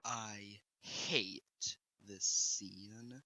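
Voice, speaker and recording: A man's voice: on the low side, steady tone, very slow, over loud music, low-quality recording. A man narrating a scripted video review in a critical, emphatic manner.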